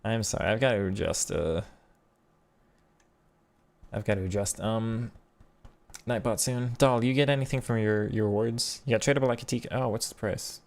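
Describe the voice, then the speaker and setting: Low-voiced man chatting on a stream. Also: normal speed, vocal fry, long pauses. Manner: chatty, thinks aloud with frequent restarts. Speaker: streamer and game coach. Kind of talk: casual live-stream commentary reading chat.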